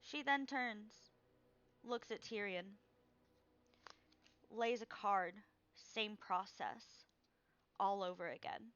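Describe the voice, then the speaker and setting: A woman game master narrating a tabletop fantasy game in a measured, hushed descriptive way, on a slightly dull microphone. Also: medium pitch, no echo.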